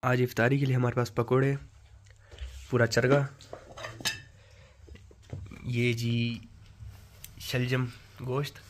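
A man's voice: medium pitch, little pitch movement, takes it slowly, pauses often. Young man vlogging on a noisy street. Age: young adult. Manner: chatty, upbeat narration to camera. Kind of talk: casual travel vlog. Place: outdoors on city streets. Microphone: phone held at arm's length. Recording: noisy outdoor street recording. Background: traffic and street noise.

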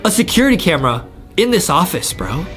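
A young man giving excited, repetitive gameplay commentary to his viewers as a video host. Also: fairly high pitch, a little fast. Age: young adult. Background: faint music.

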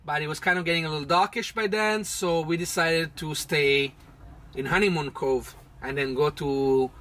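A man's voice: a little high, fairly even intonation, moderate pace, fairly bright tone. A man in his thirties telling a sailing trip story in a chatty, casual way from a small boat cabin. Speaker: vlog host, sailor. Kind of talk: casual travel narration.

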